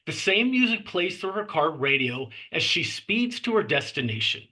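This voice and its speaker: Guy reading script directions, highish raspy voice, measured, clipped on the headings. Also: big pitch swings, sharp tone. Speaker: stage-direction narrator. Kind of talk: scripted narration of a screenplay.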